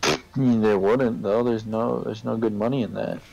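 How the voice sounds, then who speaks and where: A man's voice: fairly low pitch, steady tone, moderate pace, vocal fry, darkish tone, rough recording. A man casually explaining his point in a discussion, often checking in with a "right?" or "okay?" as he goes. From Canada. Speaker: participant in a group discussion. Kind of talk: casual debate, chat.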